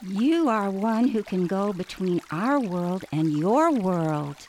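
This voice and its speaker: A woman's voice: medium pitch, expressive, slow pace, a little mellow, slight background noise. A woman playing a small, wise, mysterious elder creature from dreamland in an audio story. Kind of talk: audio theater acting.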